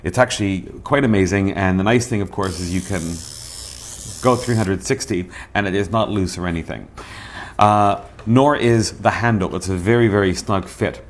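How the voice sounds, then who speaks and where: A man's voice: fairly low pitch, moderate pace, a little hiss or hum. A man hosting a product review in a casual, chatty way, often asking and answering his own questions and repeating words for emphasis. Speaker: host of a radio review show.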